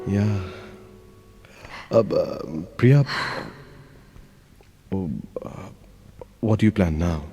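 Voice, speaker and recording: A man's voice: low-pitched, very slow, pauses often, warm tone, slightly rough, exaggerated voice. An actor with an Indian accent playing an awkward, tender young man secretly in love in an audio play, hesitating and repeating his words. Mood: nostalgic, awkward. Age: young adult. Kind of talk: audio play acting with introspective monologue. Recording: long reverb, music underneath. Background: music.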